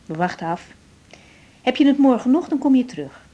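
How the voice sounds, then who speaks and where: An actress playing a caring, brisk young woman doctor in a naturalistic 1980s radio drama. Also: sits high, very animated, warm tone, lo-fi sound. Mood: calm, reassuring. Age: young adult.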